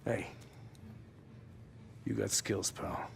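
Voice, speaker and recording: An actor playing a weary, plain-spoken chief of first responders in a video game, gravelly, casual and wry. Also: male voice, very slow. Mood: wry, relieved. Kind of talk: video game cutscene acting.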